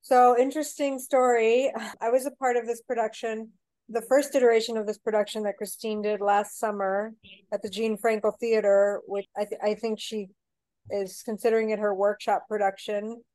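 A woman's voice: fairly high pitch, flat delivery, normal speed, a little mellow, no room sound, rough recording. A woman from Mexico, a theater director, talking casually in an interview with lots of filler words. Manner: chatty, rambling with many "you know" fillers.

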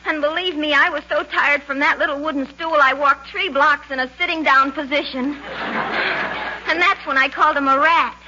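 A woman's voice: high-pitched, fairly even intonation, moderate pace, sharp tone. A woman playing a naive, man-crazy young working girl in 1940s radio comedy, with a breezy, rambling manner. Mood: indignant, rambling. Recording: muffled, faintly noisy 1940s radio recording.

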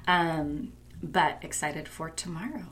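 A woman's voice: low-pitched, takes it slowly, a bit bright, slight background noise. A woman in her thirties co-hosting a casual podcast chat, losing her voice and talking in a chatty way with lots of fillers. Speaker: podcast co-host. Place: rented apartment room.

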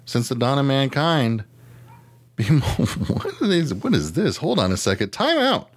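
A man's voice: mid-range voice, big pitch swings, unhurried. A man with an American accent chatting casually as a comedic podcast co-host, exclamatory, slangy and profane.

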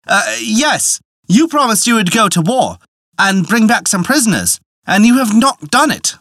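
A man's voice: high-pitched, sing-song, a little slow, a bit bright, no echo, clean studio sound, pitched above the performer's own voice, heavily acted. A man voicing a nervous, timid young husband with a raised, flustered voice.

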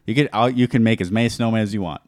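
A man hosting a podcast, chatting casually in a halting, rambling way with some joking. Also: lowish voice, dry recording, studio quality. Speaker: podcast host. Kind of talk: casual chat.

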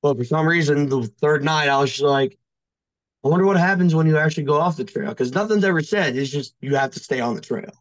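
A man's voice: a little high, fast, dry recording, lo-fi sound. A man chatting casually as a podcast guest, telling personal stories in a rambling, hesitant way peppered with "like". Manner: rambling, heavy use of "like", hesitant. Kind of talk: casual chat, personal storytelling.

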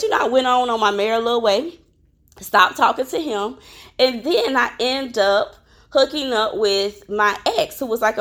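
A woman, hosting her own podcast in a chatty, upbeat way, with a slightly crackly, worn voice. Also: mid-range voice. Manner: chatty and upbeat, addresses listeners directly, many fillers. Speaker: podcast host. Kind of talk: casual solo podcast chat.

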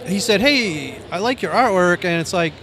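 An American man in his sixties from Maine with a soft voice, reminiscing casually and a bit ramblingly in an interview. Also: high-pitched, expressive, moderate pace. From Maine, United States. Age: 60s. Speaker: comic book artist, interview guest. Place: busy convention floor. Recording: faint convention floor noise.

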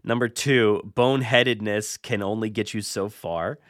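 A man hosting a casual podcast, talking informally and haltingly as he thinks aloud. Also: on the low side, fairly even intonation, a bit bright, dry recording, studio quality. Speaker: podcast host.

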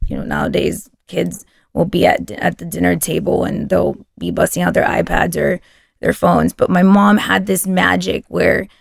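Woman guest from Texas, Spanish first language, heavy vocal fry, dry close mic, storytelling chat. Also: on the low side, normal speed, no room sound. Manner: warm storytelling with frequent restarts. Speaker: podcast guest. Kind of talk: casual interview chat.

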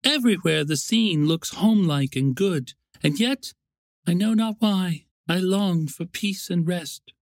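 Man voicing a wistful, high-pitched queen of nature. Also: a little slow, no room sound, studio quality. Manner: earnest, yearning, poetic. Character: wistful, gentle female spirit, queen of the year.

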